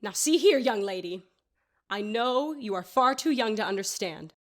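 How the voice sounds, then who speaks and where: Actress as a haughty, prim older lady, rather high-voiced. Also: expressive, normal speed, lightly acted. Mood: offended. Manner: indignant, formal, scolding. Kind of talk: audio drama acting.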